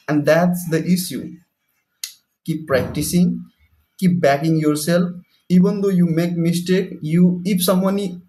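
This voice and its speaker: A young man whose first language is Hindi gives an earnest, motivational English lesson. He speaks in a mellow, somewhat high voice. He repeats himself often and talks directly to the viewer from a ground-floor room at home. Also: low-quality recording. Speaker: English teacher. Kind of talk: motivational talk to camera.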